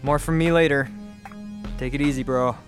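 A man's voice: mid-range voice, fairly even intonation, normal speed, faint music behind, lightly acted. Man playing a wry, casual space engineer. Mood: wistful, wry. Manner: casual, sardonic. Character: gruff, wry male engineer recording a message home. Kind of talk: science fiction audio drama.

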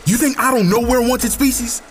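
A man's voice: very high-pitched, brisk, music in the background. An actor playing a resolute, militant male activist leader in an audio drama, speaking assertively. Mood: firm, fervent. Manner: assertive, declarative.

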